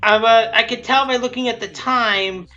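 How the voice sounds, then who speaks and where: A commentator with a bright, forward voice talks casually and off the cuff. The delivery rambles, halts and doubles back on phrases. It is captured on a dull lo-fi mic with music and show audio underneath. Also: mid-range voice, steady tone. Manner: rambling, repetitive, halting. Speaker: creator commentating on own abridged series. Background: show audio and music playing underneath.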